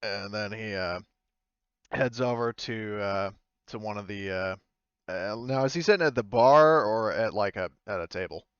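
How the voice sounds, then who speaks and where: A man narrating casually and haltingly as game master, improvising in an informal, easygoing way. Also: lowish voice, a bit bright, smooth, no room sound, muffled recording.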